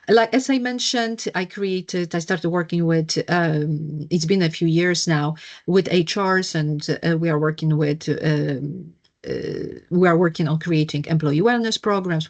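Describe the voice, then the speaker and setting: A woman's voice: lowish voice, a little mellow. Polish-accented woman presenting, many fillers. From Poland. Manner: frequent fillers and self-corrections. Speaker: wellness coach and workshop presenter. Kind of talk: presenting a workshop over video.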